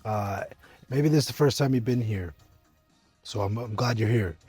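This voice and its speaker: A young man with a husky, deep voice, talking earnestly to camera outdoors at a winery, rambling with many fillers and restarts as a business coach. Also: normal speed, darkish tone. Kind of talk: casual motivational talk to camera. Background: faint eighties music.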